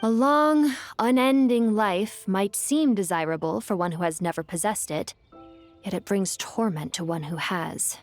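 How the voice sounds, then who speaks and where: Actress as regal female djinn, ancient, wistful, devoted, poetic formal delivery, theatrical game acting, middle pitch, music behind. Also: lightly acted. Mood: yearning, resolute.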